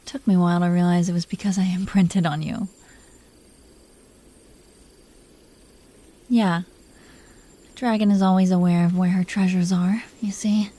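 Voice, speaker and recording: A woman voicing a protective, smitten dragon queen in an intimate romantic audio roleplay, speaking softly and breathily with an affectionate, teasing manner, often near a whisper. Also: lowish voice, steady tone, close to an everyday voice. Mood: tender, confiding. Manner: affectionate, teasing, slow confiding delivery.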